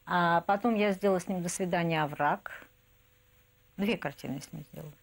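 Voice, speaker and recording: A woman's voice: fairly low pitch, brisk, gravelly. A woman in her fifties reminiscing in an interview about her work as an artist. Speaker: animation artist recalling a colleague. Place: indoor room, seated at a table.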